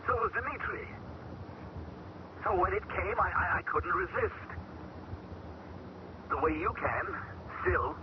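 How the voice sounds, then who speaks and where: An actor playing a man taken over by an alien mind, who talks calmly and measures his words in an old radio drama. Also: high voice. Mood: eerie. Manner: calm, measured, searching for words. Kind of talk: 1970s radio drama acting. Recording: dull old radio broadcast with loud hiss.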